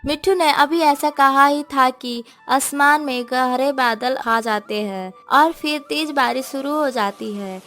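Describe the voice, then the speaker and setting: A woman narrator with a fairly high voice tells a scripted children's cartoon story. Music runs underneath her reading. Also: unhurried, hardly any pauses, a bit bright.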